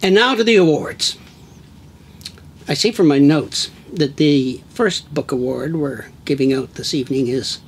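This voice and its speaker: A man's voice: a little high, unhurried, husky. A man hosting an awards ceremony, reading scripted introductions with dry, playful humor. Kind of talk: scripted wry emcee introductions.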